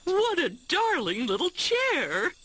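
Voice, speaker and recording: A woman's voice: extremely high pitch, huge pitch swings, slow, heavy background noise, exaggerated voice. A woman voicing a fussy, doting storybook lady in a cartoon. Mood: delighted. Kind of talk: cartoon voice acting. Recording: loud music under the voice.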